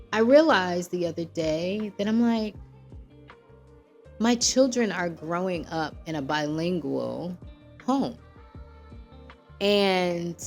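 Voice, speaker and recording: A woman's voice: fairly low pitch, a little mellow. An American woman hosting a show, telling personal stories in a casual, conversational and reflective way, often checking in with her listeners. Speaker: podcast host.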